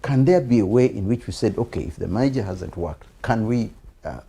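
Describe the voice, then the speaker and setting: A man with a Ugandan accent hosting a political interview, speaking conversationally and haltingly, repeating words as he makes his point. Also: on the low side, expressive, gravelly, mellow, breathy edge. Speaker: TV programme host.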